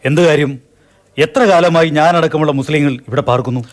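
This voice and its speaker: A man playing an earnest, patriotic man in a Malayalam radio drama, reasoning in a steady, principled way. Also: a little high, fairly quick, natural voice.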